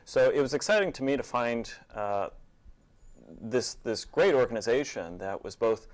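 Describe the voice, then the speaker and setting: A man being interviewed as an executive director, answering conversationally in a measured, matter-of-fact way. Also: mid-range voice, animated. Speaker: executive director of a nonprofit theater, interview guest.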